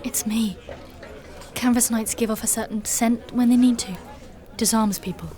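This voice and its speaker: An actress playing a proud, guarded knight in disguise in a dark fantasy audio drama, curt and cool in her delivery. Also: a little high, steady tone, normal speed, a faint crowd, lightly acted.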